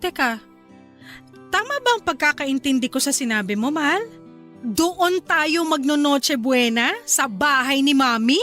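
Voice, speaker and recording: High-voiced, cheerful young Filipino wife. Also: female voice, expressive, unhurried, fairly bright tone, soft background music. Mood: surprised, uneasy. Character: young wife and mother, cheerful, eager homemaker. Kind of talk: Filipino radio drama dialogue.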